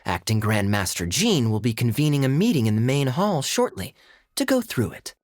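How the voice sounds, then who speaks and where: An actor playing a suave, teasing knight captain in a game cutscene, with a smooth, amused manner. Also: male voice, medium pitch, big pitch swings, normal speed, no echo, studio quality, close to an everyday voice.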